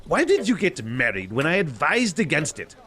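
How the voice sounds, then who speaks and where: A man playing a bitter, miserly old businessman with a British accent, gruff and sarcastic, in an amateur audio drama. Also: on the high side, huge pitch swings, normal speed, a bit bright. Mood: stubborn, curt. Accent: put-on British. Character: bitter, miserly old male London businessman, scornful and curt.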